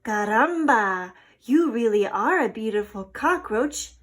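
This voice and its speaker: A woman voicing a vain, pompous male rooster in a storybook, boastful and quick to temper. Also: on the high side, expressive. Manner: boastful, crooning, flamboyant. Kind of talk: storybook character voice.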